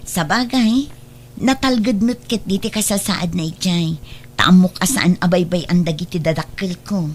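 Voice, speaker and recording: A woman's voice: fairly low pitch, normal speed, slight background noise, close to an everyday voice. A woman playing a trusting, devoted adult daughter in a radio drama, speaking earnestly and reassuringly.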